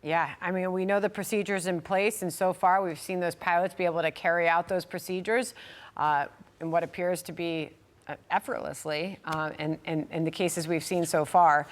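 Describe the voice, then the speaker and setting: A woman's voice: fairly low pitch, steady tone, moderate pace. Conversational female news anchor. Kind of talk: live news interview, conversational.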